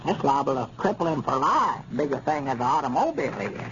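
A man playing a crotchety but soft-hearted, muddled old storekeeper with a rural Arkansas accent, in 1930s radio comedy style. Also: mid-range voice, sing-song, fast talker, lightly acted. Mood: defensive, literal. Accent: rural Southern US, Arkansas hill country. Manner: folksy, flustered, muddled stammering. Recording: muffled, noisy 1930s radio recording.

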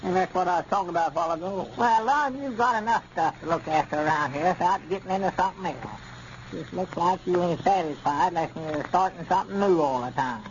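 An actor playing a fussy, literal-minded country storekeeper with a rural Arkansas accent in a 1930s radio comedy. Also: male voice, high-pitched, quick pace, close to an everyday voice. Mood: nagging. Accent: Southern US, rural Arkansas. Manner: folksy, querulous, literal-minded. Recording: scratchy, muffled 1930s radio recording.

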